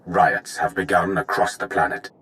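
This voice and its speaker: A solemn male adviser with a deep voice, formal and stately. It is space opera game dialogue with faint noise behind it. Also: moderate pace, fairly bright tone. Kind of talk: video game voice acting.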